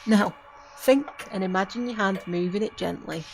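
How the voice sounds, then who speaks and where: A woman's voice: mid-range voice, creaky, faint music behind, natural voice, rough recording. A woman playing an earnest, grieving but insistent witch who mentors a novice in an audio drama, speaking formally and instructively. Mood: gentle, coaxing. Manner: measured, instructive, formal phrasing. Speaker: voice actor in an amateur audio drama.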